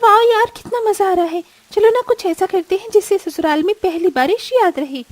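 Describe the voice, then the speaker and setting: A woman's voice: very high voice, moderate pace. A woman voicing a pampered, snobbish young rich daughter-in-law with a breezy, condescending way of talking in a cartoon. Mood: excited. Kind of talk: Hindi moral-story cartoon voice acting.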